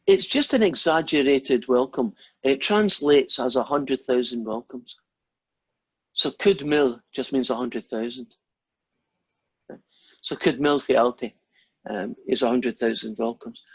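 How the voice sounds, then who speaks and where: A man's voice: mid-range voice, slow pace, long pauses, breathy edge, no echo, dull audio. A Scottish man, a maths lecturer, wandering off topic into rambling, self-deprecating stories during an informal class. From Scotland. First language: Scots.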